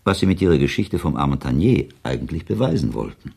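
An ironic skeptic in a radio play. His extremely low, husky voice moves quickly and with lively inflection, dry and clipped as he needles his partner. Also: a little hiss or hum, heavily acted. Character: skeptical, ironic male listener needling a storyteller. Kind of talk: German radio drama acting, dry dialogue.

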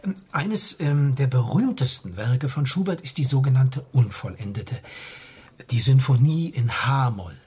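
An actor playing a nervous, eager young musicology student who explains things haltingly in a radio crime drama. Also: male voice, mid-range voice, animated, a little mellow, husky. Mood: eager explaining. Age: young adult.

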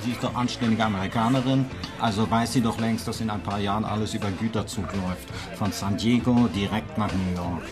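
A man's voice: fairly low pitch, steady tone, fairly quick, natural voice. A male actor in a German radio play, playing a foul-mouthed, ranting drinker who spouts conspiracies in a coarse, emphatic way. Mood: mocking, boozy. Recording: music behind the voice.

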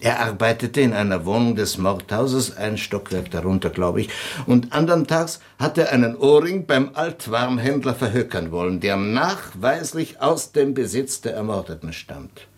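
Man acting a husky, mocking prison doctor. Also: medium pitch, animated, normal speed, lightly acted. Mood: brisk, matter-of-fact. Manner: theatrical, provocative, breaking into chuckles. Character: cynical, mocking former prison doctor, gleefully provocative. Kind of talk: German radio drama acting.

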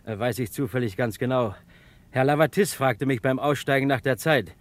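An actor playing a talkative, punctual bus conductor in a German radio drama, precise and sure of himself. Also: male voice, medium pitch, normal speed, lightly acted. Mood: confident, precise. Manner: talkative, precise, matter-of-fact.

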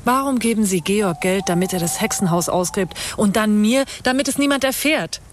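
A woman's voice: medium pitch, animated, normal speed, close to an everyday voice. An anxious woman investigator in a radio thriller, voiced by an actress. She sits in a medium register and presses urgently and insistently, with some background noise around her. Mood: insistent, uneasy. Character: insistent, anxious female investigator under threat. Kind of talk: modern radio drama acting.

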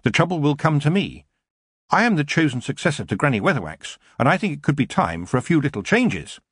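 A man's voice: on the high side, very animated, fairly quick, fairly bright tone, husky, no echo, clean studio sound, voice pushed up, heavily acted. A man voicing a determined young woman witch in an audiobook, speaking earnestly and frankly. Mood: confident. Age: young adult. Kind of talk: audiobook character voice.

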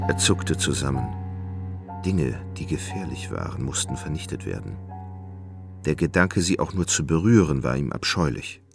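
A male narrator with an extremely low voice and a gravelly creak. He delivers literary radio drama gravely and with measure, in a very dry recording. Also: no echo.

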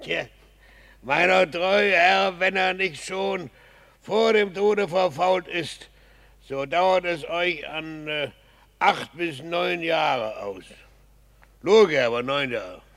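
A man's voice: on the high side, takes it slowly, a bit bright. A man in a radio drama playing a cheeky, earthy peasant gravedigger who drawls colloquially and quibbles. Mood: earthy, explanatory. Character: cheeky male gravedigger, earthy peasant clown, singing at work. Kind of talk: classical radio drama acting.

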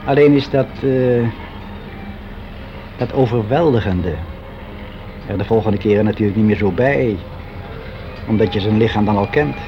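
An actor playing a frank, wistful clerk of about sixty in a radio drama monologue, speaking in a musing, halting, confiding way. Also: male voice, on the low side, background noise, natural voice. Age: older, about sixty. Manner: musing, halting, candid, with drawn-out phrases. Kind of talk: confessional radio drama monologue, conversational and hesitant. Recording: dull lo-fi radio play recording.

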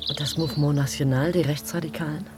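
An actress playing an assertive, tenacious newspaper journalist in a radio drama, direct and self-assured. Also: female voice, low-pitched, fairly even intonation, fast talker, over music, lightly acted. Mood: probing. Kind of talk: German radio drama acting.

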